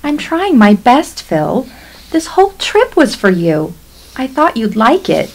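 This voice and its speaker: Radio comedy-drama wife, female actor: American, high and very lively, insistent yet sugary. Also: measured pace, exaggerated voice, rough recording. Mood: coaxing, bossy. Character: sweet but gently bossy American tourist wife. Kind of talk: radio play acting.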